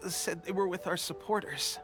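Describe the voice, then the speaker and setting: An actor playing a young resistance soldier who is weak and tired, coughing and speaking in halting, hesitant phrases. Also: male voice, high-pitched, over music, close to an everyday voice. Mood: weary. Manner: halting, hesitant, with trailing restarts. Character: young male resistance soldier, loyal, fading. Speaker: game character. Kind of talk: game cutscene voice acting.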